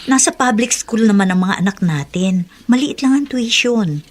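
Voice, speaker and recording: Practical, gently bossy wife, acted by a woman. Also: medium pitch, lively intonation, normal speed, close to an everyday voice. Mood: persuading, coy. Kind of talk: Tagalog radio drama acting.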